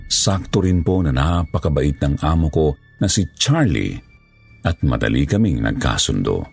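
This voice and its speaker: A man with a gravelly, husky voice reading a scripted first-person horror story as its narrator. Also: a bass voice, darkish tone.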